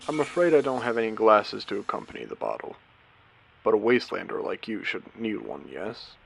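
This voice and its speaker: A man playing a courteous, eerie AI who hosts an abandoned post-apocalyptic casino in an audio drama, speaking theatrically with deliberate pauses. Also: expressive, full character voice, poor audio. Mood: suave, welcoming. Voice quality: low, creaky voice. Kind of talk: scripted character audio drama monologue.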